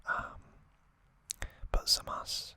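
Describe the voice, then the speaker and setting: Very bright, slow ASMR whisper. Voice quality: breathy unvoiced whisper. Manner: slow, hushed, pausing between short phrases. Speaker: host of an ASMR channel. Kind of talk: ASMR whispered anime recap and trivia.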